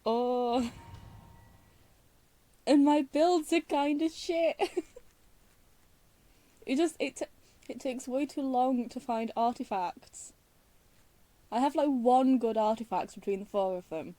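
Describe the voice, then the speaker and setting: A woman's voice: high voice, slow, long pauses, warm tone, dry recording. A woman chatting casually as she plays a video game, rambling and self-deprecating, with some swearing. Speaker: gamer commentating on her own play. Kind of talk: casual chat while playing a video game. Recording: music behind the voice. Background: video game music.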